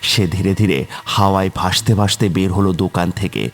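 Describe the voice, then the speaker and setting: Deep-voiced male horror story narrator. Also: fairly even intonation, a little fast, soft background music. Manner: dramatic, suspenseful.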